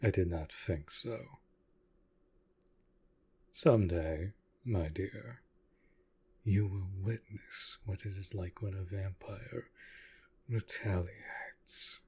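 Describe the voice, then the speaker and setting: A man playing an aloof, commanding yet protective vampire master in an intimate roleplay audio drama, speaking in a formal, measured way. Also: low-pitched, slightly rough, exaggerated voice. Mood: cold, menacing. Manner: formal, measured, deliberate speech.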